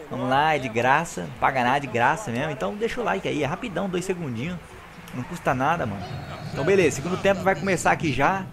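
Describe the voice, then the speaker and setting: Fast-talking hoarse Brazilian gamer guy. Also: on the high side. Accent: Brazilian Portuguese. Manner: excited, slangy, talks to viewers. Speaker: gaming YouTuber. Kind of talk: live gameplay commentary.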